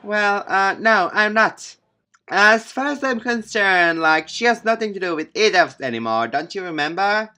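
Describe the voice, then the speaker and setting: Man putting on a high, stubborn upset teenage girl. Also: expressive, normal speed, pushed and bright, clean tone. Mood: defensive. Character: upset teenage girl, stubborn, grudge-holding childhood friend.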